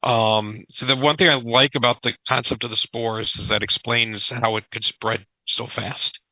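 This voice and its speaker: A man chatting casually as a podcast co-host, halting and wry, with some mild swearing. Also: medium pitch, little pitch movement, dry recording. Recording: dull lo-fi podcast audio.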